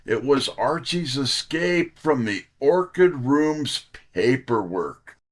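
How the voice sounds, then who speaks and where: Slow, animated man, an author interviewed. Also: medium pitch. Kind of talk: polished, scripted-sounding interview talk.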